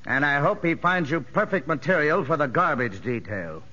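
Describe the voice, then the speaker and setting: An actor playing an exasperated, sarcastic prison captain in a 1950s radio drama, stern and scolding. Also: male voice, fairly high pitch, lively intonation, moderate pace, pushed and bright, faint music behind. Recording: muffled vintage radio broadcast.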